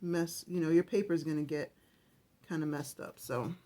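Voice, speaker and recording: This is a woman with a slightly low, scratchy early-morning voice, talking casually through a craft project. She chats and rambles, often starting a sentence over before she finishes it. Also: fairly even intonation, moderate pace, dark tone. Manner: chatty, rambling, self-correcting. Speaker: craft channel host.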